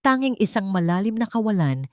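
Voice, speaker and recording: A woman's voice: medium pitch, big pitch swings, brisk, a little mellow, no echo, clean studio sound. A woman with a Filipino accent giving scripted, melodramatic narration of a story.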